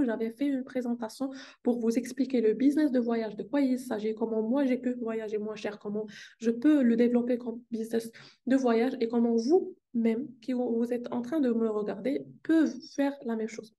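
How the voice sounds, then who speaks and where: Woman, Arabic, mellow higher voice, earnest motivational vlogger. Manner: earnest, upbeat, repeats phrases for emphasis. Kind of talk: casual motivational vlog talk. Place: hotel meeting room.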